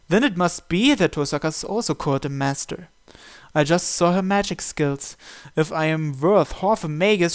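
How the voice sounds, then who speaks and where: Man reading a visual novel aloud, higher-pitched voice. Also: clear, resonant tone. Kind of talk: narration read aloud from a game script.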